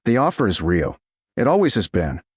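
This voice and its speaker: A man voicing a powerful medieval duke in a romance audiobook, restrained and intense, with quiet, murmured, deliberate lines. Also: lowish voice, wildly swooping pitch, slightly rough, no echo, clean studio sound, full character voice. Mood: quiet, intent.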